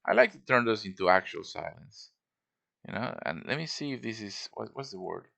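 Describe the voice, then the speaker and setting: A man's voice: on the low side, animated, moderate pace, gravelly, pushed and bright. A man giving a casual show-and-tell tutorial as a game modder, informal and thinking aloud as he works.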